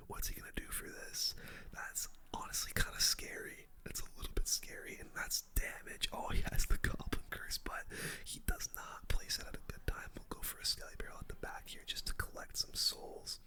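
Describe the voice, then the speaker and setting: A person whispering casual, chatty ASMR gameplay commentary in a breathy, unvoiced voice, close to the microphone. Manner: casual, chatty gamer slang. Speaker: gaming video host.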